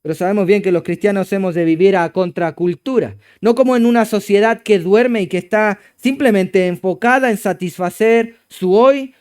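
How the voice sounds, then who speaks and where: A Chilean pastor, a man, preaching in a high-pitched voice with a smooth, resonant clarity. He presses his points with emphatic exclamations, then drops to low, hushed passages. It is a church sermon delivered with conviction.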